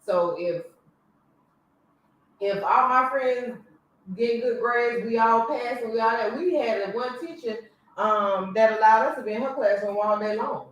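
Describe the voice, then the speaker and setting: A woman hosting a casual podcast chat, talking in an emphatic, teasing way. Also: medium pitch. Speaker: podcast host. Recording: echoey room, distant mic, faint noise.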